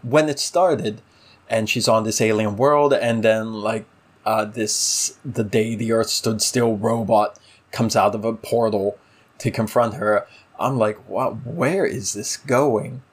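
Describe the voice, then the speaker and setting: A man's voice: lowish voice, steady tone, slow, slightly rough. A man co-hosting a casual discussion show, giving opinionated, exasperated critique in a conversational way. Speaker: podcast co-host.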